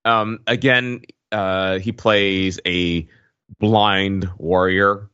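A man co-hosting a podcast, casually discussing film in a halting, stop-and-start way, on a dull recording. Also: low voice, steady tone, pushed and bright, clean tone, no echo. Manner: halting, stop-and-start, many filler tags. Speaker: podcast co-host. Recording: dull, slightly lo-fi, dry microphone.

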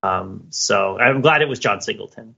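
A man's voice: on the low side, moderate pace, creaky, pushed and bright, slightly rough. A talkative man chatting casually and at rambling length as a podcast co-host. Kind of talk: casual chat. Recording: dull, lo-fi microphone.

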